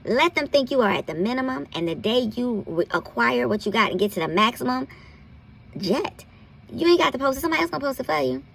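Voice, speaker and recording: A woman's voice: high-pitched, animated, quick pace, vocal fry, a bit bright, a little hiss or hum, low-quality recording. An American woman hosting an informal health commentary, giving candid advice in a blunt, salty, folksy way. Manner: blunt, profanity-laced, folksy terms of address. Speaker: health commentary host and nurse practitioner.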